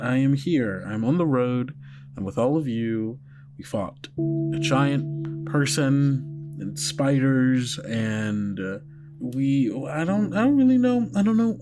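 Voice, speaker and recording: A man plays a troubled young girl who doubts her place and keeps trailing off mid-thought. Her talk is halting and confiding, at an ordinary middle pitch. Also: a little mellow, lightly acted. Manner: hesitant, faltering, confiding. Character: anxious, unsure young girl.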